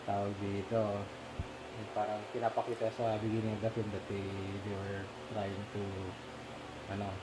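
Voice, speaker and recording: A man with a Filipino accent leading a casual film discussion, often pausing to search for words. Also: on the low side, monotone. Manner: halting, searching for words, mixes in Tagalog fillers. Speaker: discussion leader. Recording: noticeable background noise.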